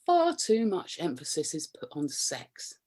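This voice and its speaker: Radio comedy: prim British housewife, slightly low voice, coy and easily flustered, secretly curious. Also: female voice, huge pitch swings, measured pace, mellow, breathy edge, heavily acted. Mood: disdainful. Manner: coy, flustered, innuendo-laden delivery with nervous chuckles. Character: prim, flustered married woman, sexually repressed but curious. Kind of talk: radio play comedy acting, confessional monologue and banter.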